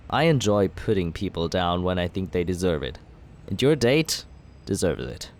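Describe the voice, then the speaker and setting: A man in an ASMR roleplay as a villain, talking smoothly and persuasively in a teasing, flirtatious way. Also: deep voice, darkish tone.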